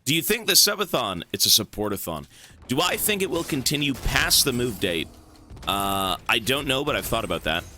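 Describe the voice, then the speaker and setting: A man's voice: mid-range voice, sing-song, normal speed, pushed and bright, slight background noise. A man from Canada streaming a game, chatting loosely with his chat, swearing and rambling as he answers questions. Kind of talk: casual stream chat while gaming.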